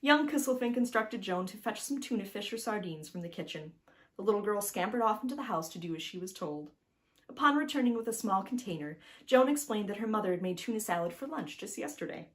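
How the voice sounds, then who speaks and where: A woman narrates a children's storybook at a medium pitch. Her reading is animated and expressive, as an author presenting her own picture book, with chatty asides addressed straight to the listener. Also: fairly quick.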